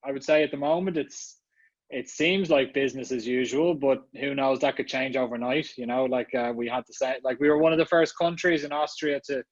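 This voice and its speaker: A man's voice: medium pitch, a little fast, no room sound, highs cut off. A man with an Irish accent, a marketing executive speaking casually as a guest at an online summit, talking with many fillers and restarts. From Ireland. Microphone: video call.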